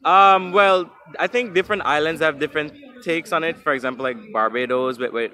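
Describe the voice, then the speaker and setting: A man's voice: fairly high pitch, brisk, pushed and bright. A man from Guyana and Anguilla answering questions in a street interview, thoughtful and a little hesitant, with frequent ums.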